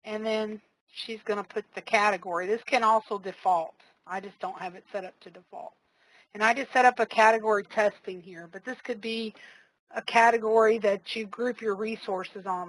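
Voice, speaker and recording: A woman trainer giving a casual, step-by-step live software demonstration, explaining each click as she goes. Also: medium pitch, fairly even intonation, fairly quick, no echo, low-quality recording. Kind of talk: live software demo walkthrough.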